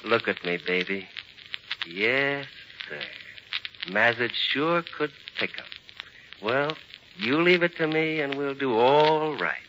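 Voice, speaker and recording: An actor playing a cynical, slangy blackmailer, sneering and insinuating, in a 1940s radio drama. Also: male voice, medium pitch, slow, long pauses, lo-fi sound, lightly acted. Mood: coaxing, smug. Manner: slangy, insinuating, sneering tough-guy talk. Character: sly, cynical blackmailing tough guy, menacing and flirtatious. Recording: noisy, crackly old radio recording.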